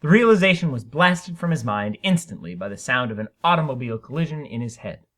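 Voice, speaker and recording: Male narrator for dramatic short fiction: bright, forceful, widely varied pitch, emphatic phrasing. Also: sing-song. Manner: theatrical, emphatic, deliberate phrasing. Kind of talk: dramatic short story reading.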